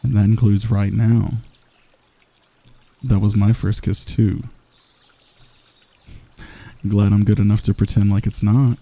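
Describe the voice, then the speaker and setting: The cocky villain of a late-night romance audio roleplay, cornering an enemy to tease softly in a very dark, creaky voice right by the ear a man acting it out. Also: lowish voice, little pitch movement, takes it slowly, pauses often. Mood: confessing, playful. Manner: soft, intimate, teasing, cocky. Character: smug, teasing, flirtatious male villain wooing an enemy. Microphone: close microphone.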